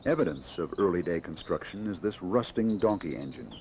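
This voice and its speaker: A man giving measured, scripted documentary narration about coastal history. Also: deep voice, lively intonation, a little mellow. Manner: measured, informative. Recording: dull lo-fi 1960s film soundtrack with faint hiss.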